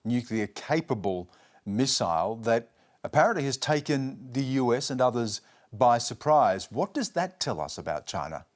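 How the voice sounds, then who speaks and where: Lively male news host. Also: medium pitch, normal speed. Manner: lively, probing questions. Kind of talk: news interview and presenting.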